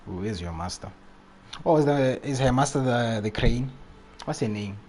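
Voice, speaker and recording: A man's voice: mid-range voice, animated, moderate pace, a little mellow. A man chatting casually and jokily on a game livestream, reacting in slangy, loose phrases. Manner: jokey, slangy, often chuckling. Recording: faint background noise with game sound.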